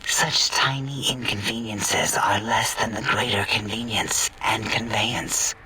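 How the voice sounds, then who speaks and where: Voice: mid-range voice, steady tone, unhurried, pushed and bright, recorded from a distance, poor audio. A performer voicing a sultry, doting, clinical robotic scientist in a sci-fi comedy game, coy and whispery.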